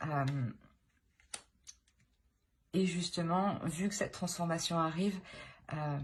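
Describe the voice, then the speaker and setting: A woman with a low-pitched voice gives an informal tarot reading. She talks hesitantly, thinking aloud and letting phrases trail off. There is some background noise on the recording. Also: slow pace. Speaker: tarot reader and channel host. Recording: clear background noise, clicks.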